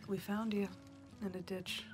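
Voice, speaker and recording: A young woman playing an uneasy, politely pushy rural woman in a soft-spoken, hesitant ASMR roleplay. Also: medium pitch, flat delivery, unhurried, natural voice. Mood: hushed concern. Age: young adult. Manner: hushed, hesitant, murmuring. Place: dim indoor room. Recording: close recording with music behind.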